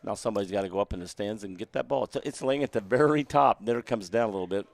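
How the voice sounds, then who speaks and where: Hoarse man calling football play-by-play. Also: lowish voice, racing. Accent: American Midwest. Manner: gets loud and excited on big plays, joking in lulls. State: losing his voice, hoarse. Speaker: play-by-play announcer. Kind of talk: amateur live football commentary. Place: college football stadium.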